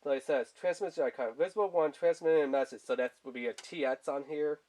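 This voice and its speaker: Male reviewer, somewhat higher register, colloquial and loose, casual home product-video delivery. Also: fast talker, darkish tone. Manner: rambling, informal, colloquial. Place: indoors at a tabletop.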